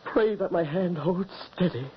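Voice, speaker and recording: A man's voice: sits high, moderate pace, lightly acted. An actor playing an anguished, fearful father with distraught, melodramatic 1940s radio delivery. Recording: dull, noisy 1940s radio recording.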